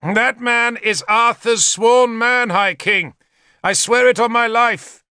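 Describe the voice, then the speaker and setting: A male reader acts a sly, treacherous old guard commander turned accuser in historical fiction. He declaims insistently in a raised, forceful voice, recorded very dry. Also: high-pitched, measured pace, no room sound, voice pushed up. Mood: accusing.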